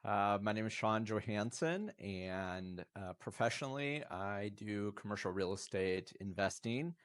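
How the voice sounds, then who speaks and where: A man's voice: fairly low pitch, animated, unhurried, no echo. A man in his fifties, a podcast guest, talking earnestly and reflectively in a motivational way. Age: 50s. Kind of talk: casual interview talk.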